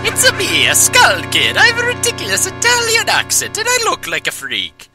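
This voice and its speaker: A man voicing an impish, boastful forest imp with a silly fake Italian accent, very high above his own voice. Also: huge pitch swings, normal speed, heavily acted. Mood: goofy boastful. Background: game music.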